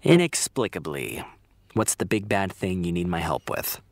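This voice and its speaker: An actor playing a long-suffering, sardonic male friend in a comedic audio drama, deadpan and weary. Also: low voice, normal speed, creaky, dry recording, heavily acted. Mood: deadpan, exasperated.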